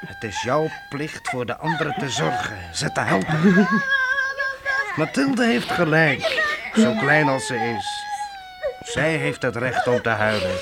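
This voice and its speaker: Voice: mid-range voice, heavily acted. An actor playing a grave, kindly frontier doctor in a radio play, speaking in a solemn, firm, consoling way. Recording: old radio recording with very loud noise.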